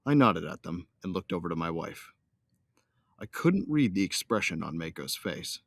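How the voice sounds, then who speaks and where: A man's voice: sits low, vocal fry, fairly bright tone, no room sound, full character voice. A man playing a devoted young starship captain, narrating his own story in a science fiction audio drama. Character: young newlywed starship captain, loyal son of smugglers, devoted husband. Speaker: first-person narrator and character.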